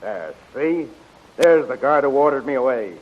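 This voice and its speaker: A man's voice: mid-range voice, expressive, moderate pace, natural voice. A man playing a deferential, eager rebel henchman in 1930s radio acting style, on a muffled, hissy old recording.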